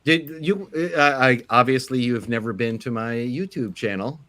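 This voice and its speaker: A man who is a guest musician, talking casually and haltingly with many pauses. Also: mid-range voice, fairly bright tone, dry recording. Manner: hesitant, halting with fillers. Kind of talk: casual chat.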